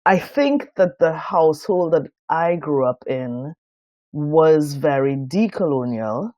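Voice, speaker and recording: A Trinidadian woman in her fifties, talking as a podcast guest. She is thoughtful and often pauses with fillers. The sound is dry and close. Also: deep voice, big pitch swings, mellow, no room sound. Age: 50s. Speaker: guest designer. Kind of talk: reflective podcast interview.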